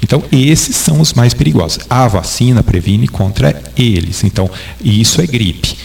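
A man's voice: mid-range voice, expressive, moderate pace, gravelly, a little mellow. A man with a Brazilian Portuguese accent, a doctor answering interview questions in a patient, didactic way. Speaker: doctor guest.

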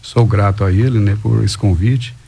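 A man from Goiânia with a Goiano accent, a businessman guest on a talk show, speaking haltingly with frequent tag questions. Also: fairly low pitch, fairly even intonation, creaky, dark tone, husky. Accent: Goiano Brazilian Portuguese. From Goiânia, Brazil. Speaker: guest, real estate businessman. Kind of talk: casual chat.

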